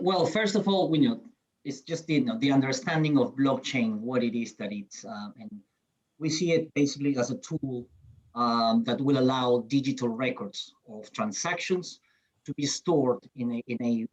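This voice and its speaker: A man's voice: mid-range voice, moderate pace, lo-fi sound. A man from Peru speaking on a conference panel, hesitant, with many fillers and restarts. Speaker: panelist, certification program CEO.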